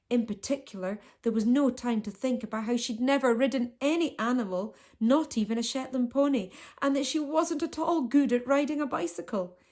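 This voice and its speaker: A woman reading a children's storybook aloud as a teacher, with expressive storytelling delivery. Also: medium pitch.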